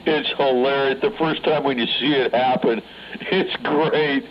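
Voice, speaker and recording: A man's voice: mid-range voice, fairly even intonation, a little slow, highs cut off. A man chatting in a folksy, amused, casual way over CB radio. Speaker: CB radio operator. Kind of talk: casual chat, storytelling. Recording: noisy CB radio transmission.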